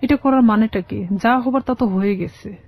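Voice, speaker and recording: A Bangladeshi woman giving personal testimony as an interview guest, in a halting, plain-spoken way. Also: fairly high pitch. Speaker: guest telling her life story. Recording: dull, muffled lo-fi sound.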